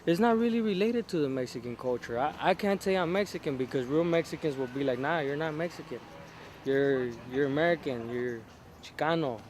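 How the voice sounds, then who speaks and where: A man's voice: on the high side, lively intonation, brisk, darkish tone, slight background noise. A man from South Central Los Angeles, answering interview questions casually and hesitantly, often restarting his sentences.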